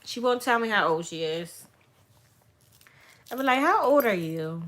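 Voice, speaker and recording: A woman, chatting casually and candidly with viewers, rambling and turning soft and heartfelt as she talks. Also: on the high side, animated, unhurried. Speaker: vlogger answering viewer questions. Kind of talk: casual livestream chat.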